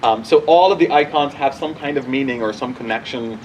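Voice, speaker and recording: A man in his forties from Barbados, a bishop informally answering questions outdoors in a halting, conversational way that often restarts sentences. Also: mid-range voice, slight background noise, low-quality recording. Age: 40s. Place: outdoors at a church gathering.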